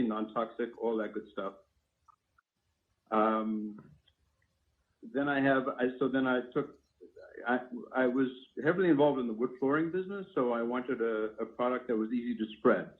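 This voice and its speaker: A man informally explaining his products on a live call, in a technical, step-by-step way, as their inventor. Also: medium pitch. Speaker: product inventor and company founder. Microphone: phone call. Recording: narrow tinny phone line.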